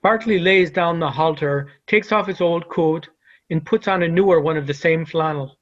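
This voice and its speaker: A man's voice: a little high, normal speed, fairly bright tone, highs cut off. A man reading stage directions as scripted narration for a play.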